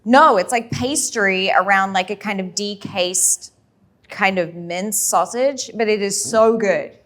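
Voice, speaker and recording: An Australian woman chatting as a podcast guest, upbeat and quick with witty comebacks. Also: mid-range voice, fairly bright tone. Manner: upbeat, quick-witted banter. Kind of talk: casual chat.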